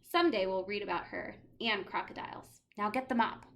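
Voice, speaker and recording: A woman's voice: mid-range voice, brisk, fairly bright tone, close to an everyday voice. A woman voicing an encouraging, knowing schoolteacher with playful humor and emphatic delivery in a storybook reading. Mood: cheerful, teasing.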